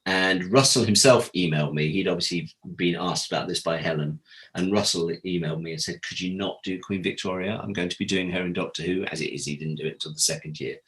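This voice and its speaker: Deep-voiced man telling candid anecdotes. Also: fast, fairly bright tone, rough recording. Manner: candid, acts out quoted conversations in anecdotes. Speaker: interview guest, former audio drama producer. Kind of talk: animated anecdotal interview talk.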